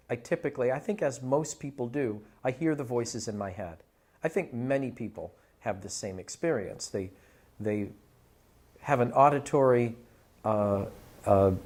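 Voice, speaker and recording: A man with a middle-pitched voice talks in an interview about his directing work. His phrasing is deliberate and articulate, building sentences in careful, measured steps. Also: a little mellow. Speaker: audiobook director and casting scout. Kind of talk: documentary interview.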